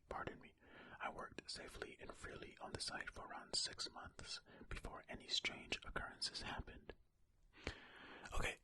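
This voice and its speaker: An ASMR host reads stories in a breathy, fully hushed whisper. The sound is very crisp and bright, right up at the microphone. The delivery is slow and informal, with casual asides between passages. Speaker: ASMR host reading aloud. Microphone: close-up ear-to-ear ASMR microphone.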